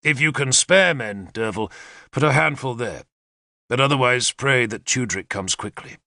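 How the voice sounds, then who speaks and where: A man's voice: mid-range voice, expressive, moderate pace, gravelly, pushed and bright, no room sound, clean studio sound. A man voicing a confident male war leader who eagerly lays out battle plans, in a decisive, commanding manner. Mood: calm. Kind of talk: audiobook character reading.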